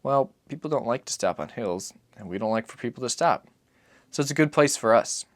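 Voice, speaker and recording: A man reading as a weary, patient father who gives terse, measured answers in an audiobook. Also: mid-range voice, animated, no echo, lightly acted. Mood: guarded. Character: weary, patient father, guarded survivor answering his son.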